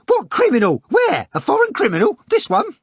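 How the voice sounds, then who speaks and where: Guy putting on a squeaky sleazy choirmaster, jeering and pushy, dry close sound. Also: huge pitch swings, fairly quick, breathy edge, no echo, exaggerated voice. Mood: gleeful mocking. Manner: shrill, mocking, wheedling. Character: sleazy clowning male ex-choirmaster, meddling. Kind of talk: audiobook character voice.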